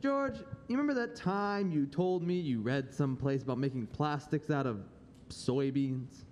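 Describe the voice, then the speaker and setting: Young man acting brash high-pitched businessman. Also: animated, normal speed, a little mellow, lightly acted. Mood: boastful, eager. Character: Sam, brash, jovial young businessman on telephone. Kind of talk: live radio play reading. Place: large auditorium stage, standing at mic. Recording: reverberant auditorium, noisy rumble.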